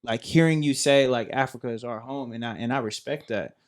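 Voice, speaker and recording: American male podcast host, average pitch, earnest and reflective in an informal discussion. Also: brisk. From United States.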